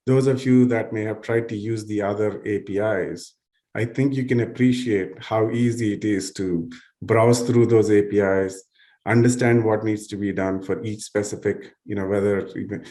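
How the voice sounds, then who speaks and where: A man's voice: on the low side, warm tone. A man speaking on a panel as a nonprofit tech leader, with loose, searching phrasing and many fillers. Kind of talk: panel discussion.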